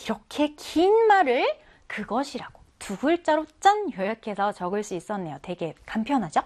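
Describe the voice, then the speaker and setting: A woman's voice: fairly high pitch, very animated, moderate pace, a little mellow. A woman teacher giving a children's reading lesson, explaining in a coaxing way and asking questions that she then answers.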